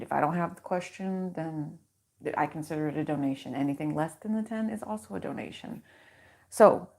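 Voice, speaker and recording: A woman with a low voice hosting a casual livestream card reading into a microphone, chatty and reassuring as she speaks in affirmations. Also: darkish tone. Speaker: tarot reader and channel host.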